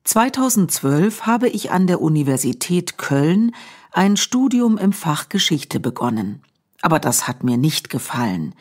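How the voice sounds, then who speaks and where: A woman reading a first-person language-learning text aloud, with a careful, clear and evenly paced scripted delivery. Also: lowish voice, a little mellow.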